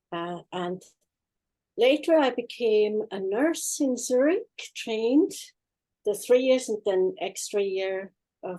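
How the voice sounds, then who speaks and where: An older woman with a Swiss accent, telling her life story in a halting, plain-spoken way as an interview guest online. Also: medium pitch, a little mellow, low-quality recording. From Switzerland. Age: 60s or older. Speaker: guest, healing practitioner and former nurse. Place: online video chat.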